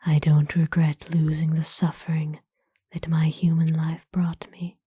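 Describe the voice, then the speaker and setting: A woman voicing a kind, ancient aristocratic vampire lady in a whispered ASMR roleplay, speaking softly with formal, soothing, wistful phrasing. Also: low voice, completely flat delivery, measured pace, no echo, clean studio sound, exaggerated voice. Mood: wistful, reflective. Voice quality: soft, breathy whisper.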